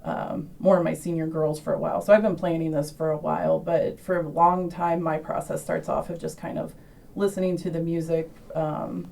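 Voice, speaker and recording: A woman who directs the school musical, explaining her planning in a measured way with some ums, recorded away from the mic. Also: deep voice, flat delivery, vocal fry, warm tone, recorded from a distance. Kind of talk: thoughtful interview answer.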